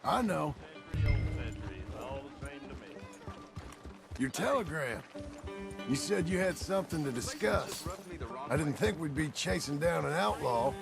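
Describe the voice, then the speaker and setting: Gruff cowboy outlaw, gravelly, American Western drawl, laconic, slightly high animated pitch, video game, music underneath. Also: male voice, normal speed. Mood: wary. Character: gruff, plainspoken male outlaw turned rancher. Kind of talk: naturalistic video game acting.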